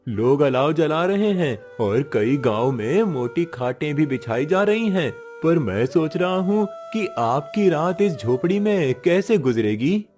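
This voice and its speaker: A man's voice: fairly high pitch, very animated, a little slow, few pauses, a little mellow. A man playing a concerned, admiring young village man in a moral story, speaking earnestly and emphatically. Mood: worried. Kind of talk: Hindi moral story voice acting. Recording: music behind the voice.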